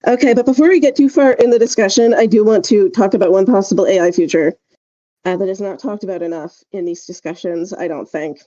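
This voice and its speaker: A woman's voice: medium pitch, a little fast, warm tone, lo-fi sound. A woman hosting a podcast, explaining things casually and emphatically, peppering her talk with filler words and check-in questions. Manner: emphatic, hedging with frequent "like" and "right?". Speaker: podcast host.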